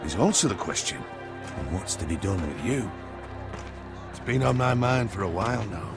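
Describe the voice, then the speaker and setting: A middle-aged lord of a fantasy video game, with a low voice and music clearly behind him. He speaks formally and with measured, paternal authority, like a commander addressing his own men. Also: animated. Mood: grave, warm approval. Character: proud, gracious middle-aged feudal lord, fatherly. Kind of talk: dramatic video game acting.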